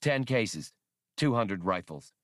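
A man's voice: on the low side, animated, takes it slowly, fairly bright tone, no room sound, clean studio sound. A man reading an audiobook plays a brusque, hot-tempered smuggler pilot with a curt, slangy, snappish delivery. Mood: businesslike. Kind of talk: audiobook character voice.

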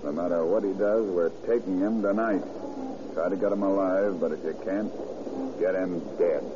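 Deep-voiced G-man boss, played by a man, terse and authoritative, old radio play with clear background noise. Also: steady tone, moderate pace, lightly acted. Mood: commanding. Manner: clipped, authoritative. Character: commanding, terse male federal agent chief. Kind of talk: old-time radio crime drama acting. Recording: muffled, noisy vintage radio.

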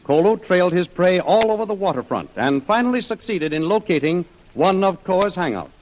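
A man's voice: high-pitched, lively intonation, brisk, poor audio. A man with an American accent narrating an adventure serial in dramatic, declamatory 1930s radio announcer style. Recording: old radio recording, music behind.

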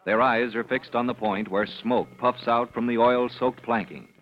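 A man's voice: mid-range voice, steady tone, normal speed. A man narrating as an announcer in a dramatic, emphatic, scripted old-time radio style. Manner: declamatory, emphatic. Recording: dull old radio recording, faint noise.

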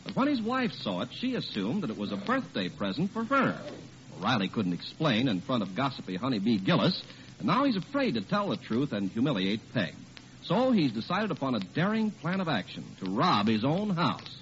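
A man's voice: medium pitch, sing-song, moderate pace, fairly bright tone. An American man announcing and reading ads in a 1940s radio style. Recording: muffled old radio recording, faint noise.

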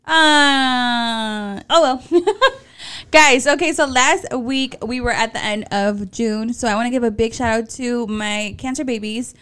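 A woman's voice: on the high side, a little slow, fairly bright tone, smooth. A woman about to turn thirty with a Mexican American accent, co-hosting a podcast in casual chat. She swears freely, laughs often and slips into Spanish. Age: late 20s. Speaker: podcast co-host.

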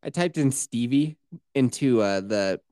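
Male podcast host, very lively swings in pitch, mock-boastful, sweary banter, close dry sound. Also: measured pace, no echo. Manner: joking, mock-boastful, animated. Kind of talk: casual, profane comedy banter.

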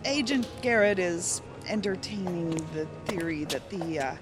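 A woman's voice: lowish voice, lively intonation, measured pace. A woman playing an exasperated, no-nonsense federal agent in a science fiction audio drama, pointed and reproachful. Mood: skeptical. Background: music.

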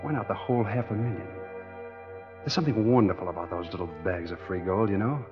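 Dull old radio recording. Male actor for hard-boiled American schemer, lowish voice, confiding first-person storytelling, 1940s crime drama. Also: fast, music in the background, natural voice. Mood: sly, dreamy. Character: tough scheming American engineer narrating his own swindle.

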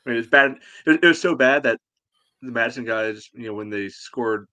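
Bright, halting man in sports chat. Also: medium pitch, no echo. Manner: halting, repeats you know. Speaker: soccer fan podcast co-host. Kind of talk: casual sports recap discussion.

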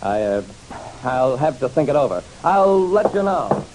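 A man's voice: mid-range voice, big pitch swings, a little slow. An actor playing a hard-boiled private detective narrating his casebook in a wry, dramatic, punchy radio style. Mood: reflective. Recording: hissy old radio recording.

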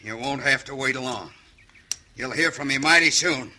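An actor playing a cocky, murderous outlaw gang boss with a Western drawl and taunting, folksy menace, in an old-time radio western. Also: male voice, medium pitch, normal speed, bright, forceful tone. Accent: American Western drawl. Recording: faint noise, old radio recording.

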